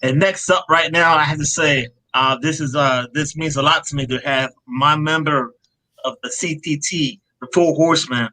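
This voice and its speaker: A man hosting a comic book panel on a live stream, laid-back and loose. His voice sits a bit high and sounds bright and forceful, and he drops "man" into most sentences. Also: steady tone, moderate pace, rough recording.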